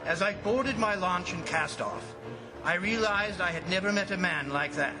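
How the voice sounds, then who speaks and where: A man's voice: high voice, normal speed, close to an everyday voice, low-quality recording. A man playing an earnest district commissioner in a radio drama, speaking with emphatic, theatrical gravity. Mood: grave, brooding. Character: earnest, alarmed male district commissioner, grave storyteller. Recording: radio drama with loud music underneath.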